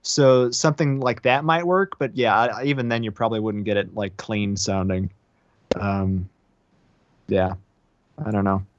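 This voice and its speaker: A man's voice: fairly low pitch, fairly even intonation, dull audio. A young man co-hosting a late-night livestream, chatting casually and cracking jokes. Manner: jokey, quick with asides. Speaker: livestream co-host.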